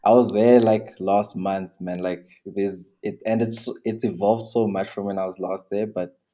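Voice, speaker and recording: Deep-voiced South African man, relaxed. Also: measured pace. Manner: relaxed, rambling with many restarts and fillers. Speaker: guest. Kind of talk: casual interview chat.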